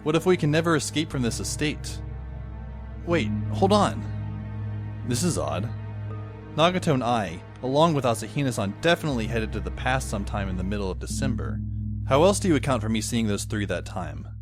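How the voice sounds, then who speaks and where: Wry, lively teenage schoolboy narrator, played by a man. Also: medium pitch, fairly quick, natural voice. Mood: startled, reasoning. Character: wry, brooding teenage schoolboy narrating his own story. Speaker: lead actor and narrator. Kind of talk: first-person audio drama narration. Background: faint background music.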